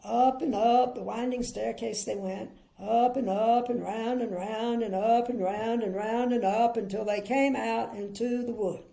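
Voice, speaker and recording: Mellow, creaky-voiced older woman reading bedtime stories. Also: fairly high pitch, fairly even intonation, a little slow, few pauses, rough recording. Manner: chatty, gently opinionated commentary. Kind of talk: expressive children's storybook reading with casual asides.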